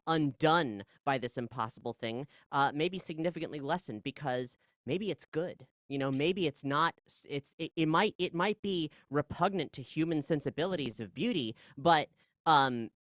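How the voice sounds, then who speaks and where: A podcast guest with a middle-pitched voice, recorded dry and close. The delivery is conversational and discursive, halting over phrases and then landing on key words with emphasis. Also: no room sound. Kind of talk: casual conversational interview, philosophical musing.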